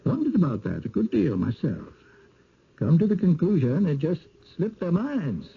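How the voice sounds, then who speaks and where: Casting: fearful country handyman, rural American drawl, gravelly and husky, swooping pitch, old radio drama. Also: sing-song, moderate pace, full character voice. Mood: matter-of-fact. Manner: hesitant, drawling, folksy speech. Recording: dull, muffled old radio recording.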